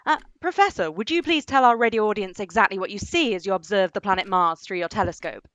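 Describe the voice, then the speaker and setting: An actress playing a female radio reporter in a radio play, briskly announcing and getting flustered at a strange scene. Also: mid-range voice, lively intonation, a bit bright, dry recording, natural voice. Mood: poised. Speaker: radio commentator. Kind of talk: amateur radio play acting.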